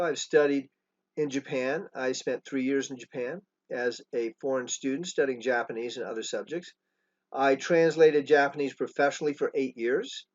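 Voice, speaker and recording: Earnest older man giving a dull, lo-fi presentation. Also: medium pitch. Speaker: education trainer presenting his program. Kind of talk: spoken presentation to schools. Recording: dull lo-fi recording.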